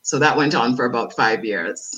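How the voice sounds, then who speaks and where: A woman actress talking as an interview guest in a lively way, often laughing between phrases. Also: a bass voice, moderate pace, noisy background. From Dominica by heritage, raised elsewhere. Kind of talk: casual interview chat.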